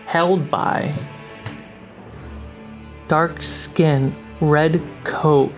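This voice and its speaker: A game master narrating a tabletop fantasy game in a measured, dramatic storyteller's way. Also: medium pitch, fairly even intonation. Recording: music behind the voice. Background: background music score.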